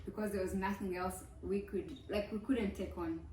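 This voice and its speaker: A woman co-hosting a casual couple vlog, lively and teasing as she talks with her husband. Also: mid-range voice, moderate pace, reverberant, recorded from a distance, slight background noise, low-quality recording. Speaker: co-host, wife.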